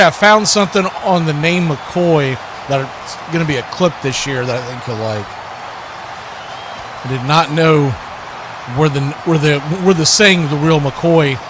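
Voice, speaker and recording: A man's voice: a little high, noisy background. A man hosting a live stream with play-by-play sports commentary and chat to listeners.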